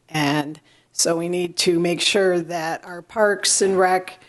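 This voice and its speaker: A hoarse middle-aged woman giving halting remarks to a city council, with many ums and pauses. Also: on the low side. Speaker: county commissioner. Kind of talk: public meeting remarks. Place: council chamber.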